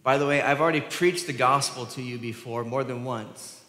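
His voice rings with long reverb through a big, echoey sanctuary. He is an American man of medium pitch, preaching from the pulpit. He explains things informally, as in conversation, and leans on repeated phrases for emphasis. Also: steady tone, normal speed, pushed and bright. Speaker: pastor preaching. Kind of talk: conversational church sermon. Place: large church sanctuary, at the pulpit.

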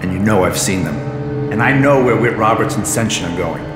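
A man's voice: on the low side, animated, fairly quick, lightly acted. A man acting the part of an earnest, evasive, stammering boat captain in an audio drama. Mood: earnest bargaining. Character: pleading, evasive male boat captain, washed-up adventurer. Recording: echoey reverberant cave effect. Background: music.

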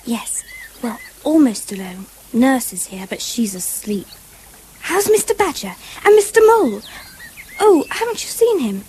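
High-voiced, imaginative little girl. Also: very animated, measured pace, darkish tone, low-quality recording. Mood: chatty, polite. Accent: English. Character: earnest, imaginative little girl, politely chatty. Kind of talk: 1970s British radio drama acting. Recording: faintly hissy old radio broadcast.

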